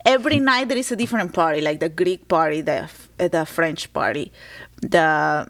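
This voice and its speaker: A woman's voice: sits low, moderate pace, fairly bright tone. A young adult woman from Peru, a comedian chatting casually as a podcast guest and laughing often. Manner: chatty, laughs easily, restarts words.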